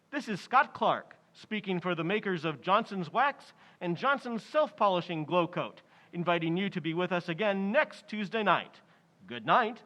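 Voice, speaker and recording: An actor playing an enthusiastic, polished sponsor announcer, selling in 1940s radio style. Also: male voice, high-pitched, bright, forceful tone, lo-fi sound, heavily acted. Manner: polished, salesmanlike. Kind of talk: 1940s radio advertising pitch.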